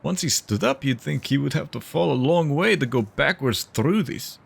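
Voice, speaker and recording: Man acting a gravelly, measured senior detective. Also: a little high, lively intonation, full character voice. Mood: reasoning. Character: measured, mentoring senior male sci-fi detective. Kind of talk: audio drama dialogue.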